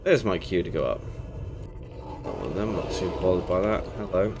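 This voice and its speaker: A man's voice: sits low, sing-song, a little fast, noisy background. A young British man hosting a casual game playthrough, chatty and rambling, a bit congested from a cold. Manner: chatty, rambling, self-correcting. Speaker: gaming channel host. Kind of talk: casual let's play commentary. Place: home gaming setup, indoors. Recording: game music playing under voice.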